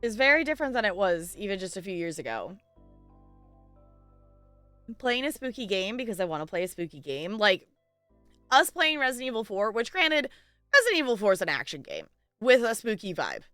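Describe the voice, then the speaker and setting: A woman's voice: mid-range voice, very animated, fairly quick, pauses often, fairly bright tone, no room sound, faint music behind. A woman chatting casually on a livestream in a playful, giggly, rambling way. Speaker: live game streamer chatting with viewers.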